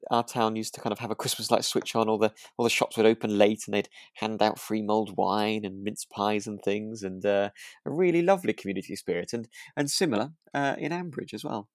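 A man co-hosting a podcast, talking casually in a gentle, hesitant way. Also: on the low side, steady tone, fairly quick, dry recording, studio quality. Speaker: podcast co-host. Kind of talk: casual chat discussing a radio drama.

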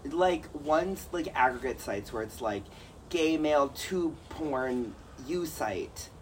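A man's voice: fairly high pitch, lively intonation, slow, slight background noise, poor audio. A man co-hosting a podcast in casual chat, rambling and jokey with lots of fillers. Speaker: podcast co-host.

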